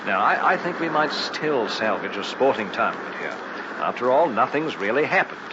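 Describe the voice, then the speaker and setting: A man's voice: medium pitch, sing-song, moderate pace, natural voice. An actor playing a genteel, doubtful young man with old-fashioned phrasing in a vintage radio drama on a noisy, muffled recording. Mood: disgruntled.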